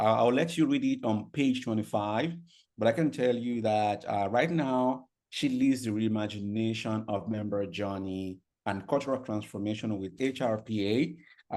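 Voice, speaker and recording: A man's voice: medium pitch, dark tone. A man moderating a virtual conference who introduces the next speaker in a hesitant way, with many fillers. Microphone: video call.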